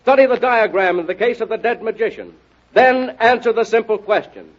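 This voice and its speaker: A man announcing in 1930s radio style, delivering an emphatic, exhortative promotional pitch. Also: sing-song, brisk. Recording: muffled vintage radio broadcast recording.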